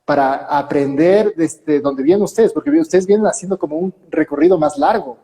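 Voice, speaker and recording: A man's voice: on the high side, moderate pace, warm tone. A man, a priest, hosting an interview and asking questions in a friendly, enthusiastic way. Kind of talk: conversational interview. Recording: echoey room with long reverb.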